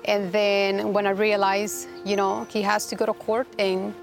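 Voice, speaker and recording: A woman from Rica, a guest telling her story in a halting, earnest way with many restarts. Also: medium pitch, monotone, vocal fry, soft background music. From Costa Rica. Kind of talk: personal testimony interview.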